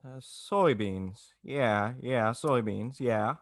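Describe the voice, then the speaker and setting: A man's voice: mid-range voice, extremely slow, no echo, studio quality. A man playing a stubborn, tender-hearted young small-town dreamer in 1940s radio drama style, with a hesitant, stammering delivery. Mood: flustered. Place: indoors, recorded over a video call.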